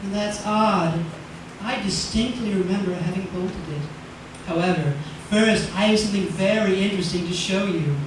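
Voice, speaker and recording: A man's voice: sits high, moderate pace, close to an everyday voice. Man playing a smug, sly villain, very echoey. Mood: suspicious, knowing. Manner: knowing, mock-polite, chuckling menace. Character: smug, sly male proprietor, menacingly genial. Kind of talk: old-time radio drama acting. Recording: very echoey, distant, noisy.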